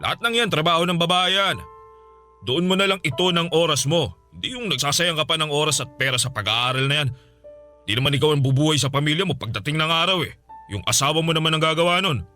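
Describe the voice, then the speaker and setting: A middle-aged uncle in a radio drama, with a bright, forceful voice. He is domineering and scornful, scolding and sneering with a quick temper, over faint music. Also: on the high side. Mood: angry, threatening. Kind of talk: Filipino radio drama acting.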